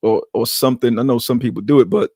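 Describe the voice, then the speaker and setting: A man's voice: medium pitch, steady tone, fast talker, a little mellow, no echo, clean studio sound. A man who grew up speaking Arabic, co-hosting a podcast and telling stories from his upbringing in a loose, reflective way. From Queens, New York. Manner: reflective, loose storytelling with repeated restarts. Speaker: podcast co-host. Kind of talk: casual podcast conversation.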